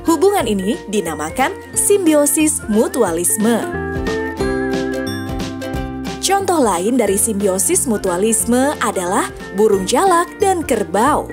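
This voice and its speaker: Woman, educational narrator for kids, friendly questioning manner, somewhat high, music behind. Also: big pitch swings, slow pace, pauses often. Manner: friendly questions to young listeners. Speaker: narrator explaining science to children.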